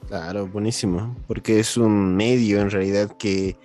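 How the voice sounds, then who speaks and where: A man's voice: lowish voice, fairly even intonation, a little slow, warm tone. A man interviewing as a podcast host, affirming his guest and feeling his way through wordy sentences. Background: faint background music.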